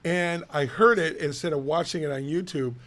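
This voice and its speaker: A middle-aged man with a raspy voice, co-hosting a casual local chat show and telling stories in an animated, chatty way. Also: a little high, moderate pace. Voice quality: raspy today. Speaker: co-host, city official.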